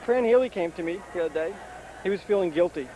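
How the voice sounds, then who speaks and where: A man's voice: high-pitched, animated, fast, dark tone, slight background noise, rough recording. A man playing a gloomy, self-absorbed sports psychologist, whiny and rambling, in a comedy interview sketch. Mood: gloomy, self-pitying.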